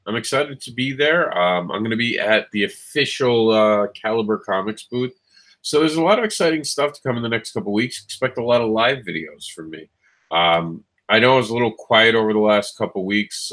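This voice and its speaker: A man's voice: on the low side, a bit bright. Nasal man with a lingering cold. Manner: rambling, many ums and restarts. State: has a cold, scratchy throat. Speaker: show host. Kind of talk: casual livestream chat.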